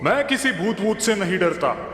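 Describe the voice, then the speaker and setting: A man's voice: high-pitched, animated, moderate pace. A man voicing an arrogant, mocking monster in a cartoon, imperious and threatening. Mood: taunting. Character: big menacing male cave monster, arrogant, mocking bully. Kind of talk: theatrical Hindi cartoon villain acting. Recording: echoey cave-like reverb under loud music.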